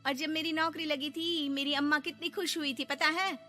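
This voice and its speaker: A woman's voice: sits high, steady tone, moderate pace, sharp tone, faint music behind, pitched above the performer's own voice. A woman voicing a tall, burly, bold young female bus conductor in a comic cartoon, brash and mocking. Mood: indignant. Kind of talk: comic Hindi cartoon dialogue.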